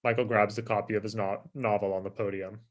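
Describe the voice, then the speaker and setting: Lowish-voiced man reading stage directions. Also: level tone, fast talker, lo-fi sound. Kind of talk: scripted narration.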